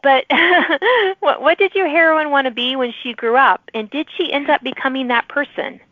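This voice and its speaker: A woman's voice: sits high, moderate pace, dry recording. A woman hosting a podcast, interviewing a guest in a friendly, chatty way. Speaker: podcast host interviewing authors. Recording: muffled band-limited phone-like call audio.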